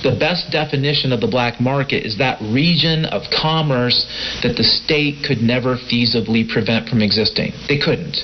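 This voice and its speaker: Guy hosting a folksy radio rant, mid-pitched voice, lots of hiss over shortwave. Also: moderate pace. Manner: folksy, addresses listeners as friends, brothers and sisters. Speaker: host of a shortwave podcast broadcast. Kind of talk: informal opinion monologue to listeners. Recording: hissy, muffled shortwave radio broadcast.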